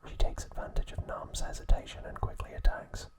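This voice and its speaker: Whispering man narrating ASMR. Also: fairly quick. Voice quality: breathy, unvoiced whisper. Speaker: ASMR video host.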